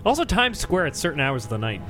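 A man's voice: on the high side, huge pitch swings, quick pace. A man co-hosting a comedy show, reacting to a guest in casual banter. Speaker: podcast co-host. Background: music behind the voice.

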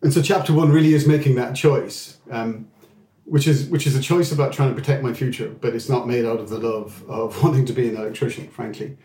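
Middle-aged irish guy, dark mellow voice, stops and restarts a lot, roomy echo. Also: medium pitch. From England. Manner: halting, with many restarts and fillers. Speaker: guest executive. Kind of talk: reflective career interview.

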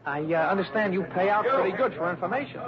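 A man's voice: high-pitched, lively intonation, fast talker. An actor playing a pushy, scruffy American small-town informant in his thirties, wheedling and folksy in an old radio drama. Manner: folksy, wheedling, repetitive, tags questions with huh and see. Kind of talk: 1960s radio drama acting. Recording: dull vintage radio recording, crowd murmur.

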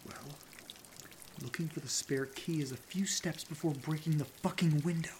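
A man's voice: on the high side, moderate pace, darkish tone, slight background noise, lightly acted. A man playing a cautious, level-headed sidekick in an audio drama, reasoning and exasperated in naturalistic banter. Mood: exasperated, urgent.

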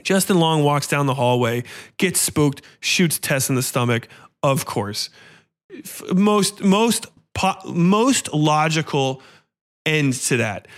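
Male podcast host, slightly high voice, casual film commentary, blunt, sarcastic and foul-mouthed. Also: fairly bright tone. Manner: profane, blunt, ranting.

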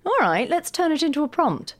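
Animated, higher-voiced probing woman. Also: a little fast. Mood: probing, playful. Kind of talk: audio drama acting.

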